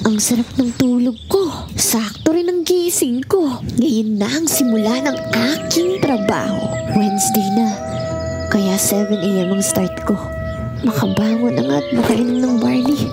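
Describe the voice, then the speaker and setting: A woman's voice: a little high, measured pace, loud music behind the voice. A woman playing a grateful, humble young new employee who speaks politely and earnestly in an audio drama. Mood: sleepy, content.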